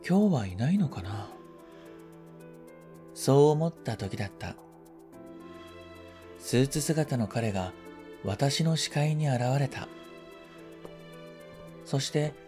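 Mellow-voiced man reading a reflective story. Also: mid-range voice. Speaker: story reader. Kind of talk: scripted literary narration, first-person radio drama reading.

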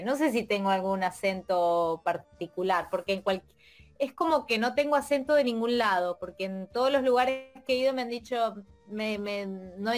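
A Spanish teacher from Argentina, chatting casually in an interview and thinking aloud with many fillers and restarts. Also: female voice, a little high. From Argentina, Mendoza. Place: lives in Europe.

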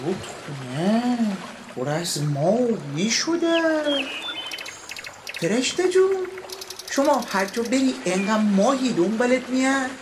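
A woman playing an excitable, boastful, simple-hearted rooster in a children's radio play. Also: a little high, background noise. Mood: wonderstruck.

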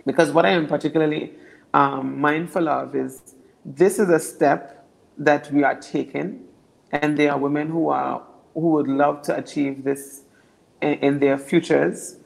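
Deep-voiced young woman, gravelly. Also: fairly even intonation, measured pace, rough recording. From Anguilla. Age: young adult. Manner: earnest, repeats words as she builds points. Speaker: political candidate. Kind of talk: interview answers.

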